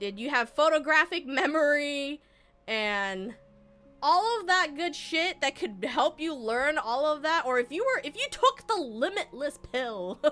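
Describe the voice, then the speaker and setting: A young woman streamer, Vietnamese by first language, talks to her chat in a bright, slightly high voice. She gives earnest, rambling advice, stopping to restart her sentences, while faint music plays behind her.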